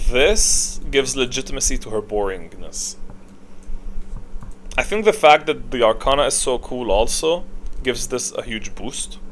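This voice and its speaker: A man's voice: medium pitch, a bit bright, slight background noise. A man giving casual off-the-cuff gaming commentary, thinking aloud, hesitating and backtracking as he ranks things. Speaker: gaming video creator ranking voice lines.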